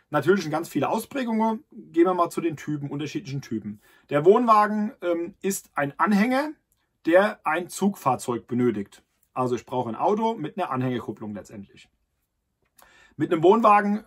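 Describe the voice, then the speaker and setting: A man's voice: on the high side, husky. A man in his thirties, host of a camping channel, talking casually to the camera from inside a camper, with many fillers and restarts.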